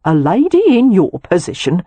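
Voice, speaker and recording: A woman's voice: fairly low pitch, huge pitch swings, normal speed, warm tone, breathy edge, no room sound, exaggerated voice. A woman voicing a shrewd older housekeeper who speaks in a pointed, wry way.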